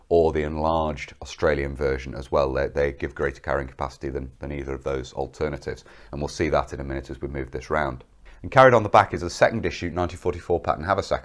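A young man with a cold presenting military kit to camera in a studio, explaining each piece in a methodical, detailed way. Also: rumbling low. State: has a cold.

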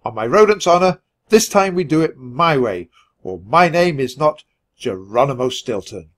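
Man as a flustered, high-pitched gentleman mouse. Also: moderate pace. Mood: bossy. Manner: indignant, squeaky, excitable. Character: nervous, easily flustered male mouse newspaper editor.

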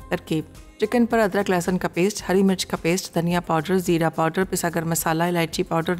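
A woman reads out recipe ingredients and steps in a steady, measured voice-over, with a Pakistani accent. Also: fairly low pitch, in a large hall. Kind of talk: scripted recipe narration.

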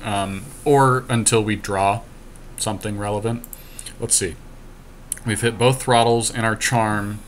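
A man with a fairly low voice talks through a game in a casual, matter-of-fact way. The recording is amateur, with some faint noise behind him. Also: fairly even intonation, a little slow, long pauses. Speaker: podcast co-host.

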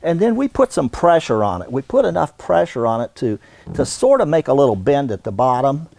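An American man in his sixties giving an unscripted instructional demonstration in a halting, folksy way. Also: mid-range voice, big pitch swings, vocal fry, darkish tone, no echo. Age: 60s. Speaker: radon supply business owner and instructor. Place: indoor warehouse.

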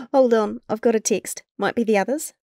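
Mellow teenage girl played by an actress. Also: a little high, moderate pace, slight background noise, natural voice. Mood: casual. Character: teenage schoolgirl keeping secrets. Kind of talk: audio drama acting.

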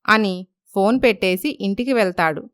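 A woman's voice: medium pitch, normal speed, a bit bright, clean tone, no echo, clean studio sound. A woman narrating a scripted moral story as storyteller.

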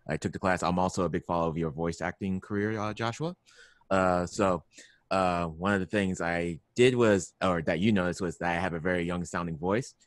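A man's voice: deep voice, dry recording, clean studio sound. A man in his late twenties chatting casually as he gives a testimonial as a student, on a headset mic. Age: late 20s. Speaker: voice training student. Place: small room at home, indoors.

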